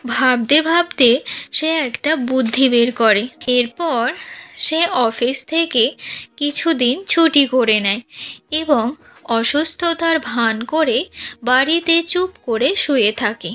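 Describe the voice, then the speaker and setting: A woman narrating a scripted cartoon story for children in a gentle storytelling manner. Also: high voice, slow pace.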